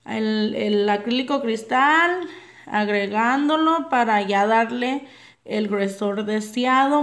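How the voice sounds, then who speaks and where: Chatty woman giving a nail tutorial. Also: fairly high pitch. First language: not Spanish. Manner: chatty, addresses viewers as girls. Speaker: nail artist. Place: home nail table, dogs barking outside.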